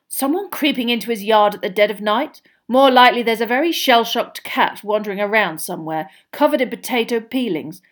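A woman voicing a flustered middle-aged male official, talking urgently and fast. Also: a little high, expressive, close to an everyday voice.

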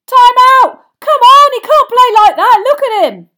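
A woman's voice: very high voice, expressive, brisk, dark tone, voice pushed up. Woman as a hot-headed bellowing boy. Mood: indignant. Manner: roaring, bellowing complaints. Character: hot-headed, indignant young boy sports fan.

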